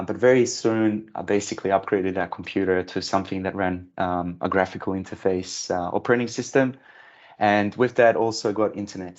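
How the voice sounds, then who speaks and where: Man, Bosnian accent, late 30s, low voice, hesitant chatty delivery, dull video call sound. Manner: rambling, many ums and uhs. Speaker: software engineer at a tech company. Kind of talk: casual self-introduction on a video call.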